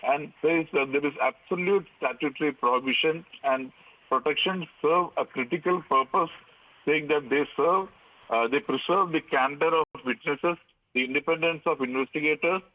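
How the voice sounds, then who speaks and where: Tinny phone-line Indian male news reporter. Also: a little high. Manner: halting, hesitant, with many fillers and repeats. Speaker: legal editor reporting on air.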